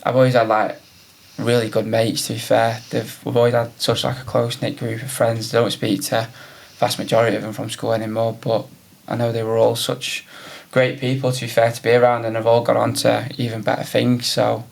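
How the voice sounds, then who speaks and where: A man's voice: medium pitch, completely flat delivery, fairly quick, gravelly. A man from North Wales, a podcast guest chatting casually and laughing often. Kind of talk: casual interview chat.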